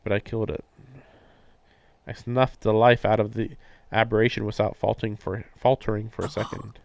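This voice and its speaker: A man's voice: fairly low pitch, little pitch movement, moderate pace, vocal fry, darkish tone, dry recording. A man on a live game stream, reading narration aloud and breaking off for casual, puzzled commentary. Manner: puzzled, questioning asides. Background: game music.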